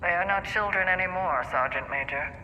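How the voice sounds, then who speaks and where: Sharp, formal scientist. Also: female voice, fairly low pitch, brisk, lo-fi sound, slight background noise. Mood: measured, cool. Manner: precise, formal. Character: reserved, formal military scientist doctor. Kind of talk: cinematic game acting.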